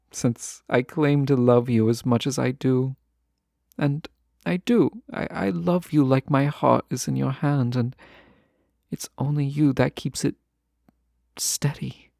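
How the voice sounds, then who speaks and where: Slow-spoken, lovestruck fantasy nobleman, played by a man. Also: medium pitch, vocal fry, darkish tone, no room sound, clean studio sound. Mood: remorseful, tender. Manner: halting, tender, formal old-fashioned phrasing. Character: lovestruck fantasy nobleman, wistful, teasing, formerly held captive. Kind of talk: romantic audio roleplay acting.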